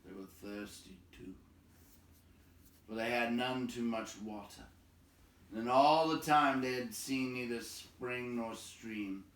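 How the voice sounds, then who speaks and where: A man reading a fantasy story aloud in a dramatic, measured style, with suspenseful emphasis and pauses. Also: mid-range voice, a bit bright. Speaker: storybook reader. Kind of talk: dramatic read-aloud narration of a fantasy novel. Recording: roomy echo, distant microphone.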